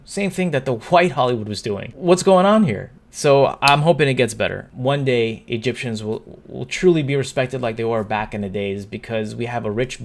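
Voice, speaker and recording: A young man talking to camera in an opinion vlog. He is upset and earnest, and he often trips over and restarts his words. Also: medium pitch. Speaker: YouTuber.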